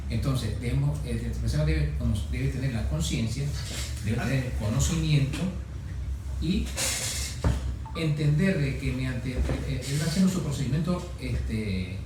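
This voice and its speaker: A man explaining as an expert guest in an interview, halting and repeating words as he goes. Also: mid-range voice. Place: echoey room, away from microphone. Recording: very echoey, distant microphone, noisy background.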